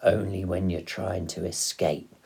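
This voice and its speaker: A man's voice: low voice, steady tone, measured pace, creaky, darkish tone, breathy edge, exaggerated voice. A man voicing a roguish, gleeful father who tells stories with dramatic relish in an audiobook. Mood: mischievous, emphatic. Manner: exclamatory, relishing, dramatic delivery. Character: roguish, gleeful father, fond storyteller of poaching.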